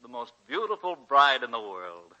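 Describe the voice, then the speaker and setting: A man's voice: mid-range voice, very animated, normal speed, pushed and bright. An actor playing a polished, ardent young American male suitor in a 1940s radio drama, on a dull old recording.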